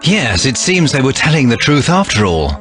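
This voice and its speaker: A man's voice: medium pitch, very animated, moderate pace, fairly bright tone. A man playing an earnest, resolute young hero, the lost heir, in a dramatic game cutscene. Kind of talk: video game cutscene acting. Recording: music behind the voice.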